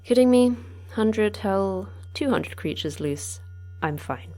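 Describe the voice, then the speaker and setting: An actress playing the terse, deadpan manager of a secret research facility in an audio drama. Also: female voice, medium pitch, slow pace. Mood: deadpan, wry. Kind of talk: audio fiction acting.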